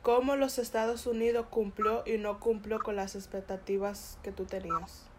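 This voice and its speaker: A woman interviewer asking short, soft-spoken questions for an oral history. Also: mid-range voice, level tone, normal speed, background noise. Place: Bronx, New York.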